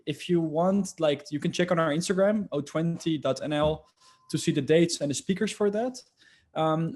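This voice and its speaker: Halting man, fairly high voice. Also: warm tone. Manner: halting, many fillers. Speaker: student organizer. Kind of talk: answering a question.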